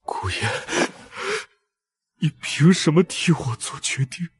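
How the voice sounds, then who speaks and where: A man acting an anguished, wounded young lover in an animated melodrama, with a husky voice. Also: fairly high pitch, slow pace, exaggerated voice. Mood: anguished, reproachful.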